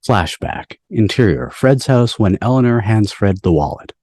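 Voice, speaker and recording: A man's voice: lowish voice, animated, normal speed, a little mellow, husky, no echo. A man narrating scene headings and stage directions from a script at a table read. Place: home, on a video call.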